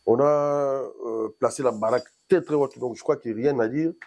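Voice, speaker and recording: Husky, mellow man from Congo. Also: medium pitch, normal speed. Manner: loose, rambling, switches between French and Lingala. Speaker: guest musician. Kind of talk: casual interview chat. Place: in Paris.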